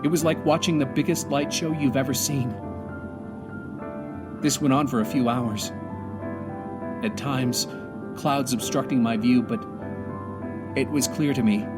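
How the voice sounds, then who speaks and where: Prominent music surrounds a stranded astronaut commander acted by a man in an audio drama. He speaks in a mid-pitched voice, solemn and measured, pausing as he reflects. He sounds resigned to danger yet holds on to hope. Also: steady tone, close to an everyday voice. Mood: awed, somber. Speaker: narrator and lead of an audio drama. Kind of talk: dramatic first-person monologue.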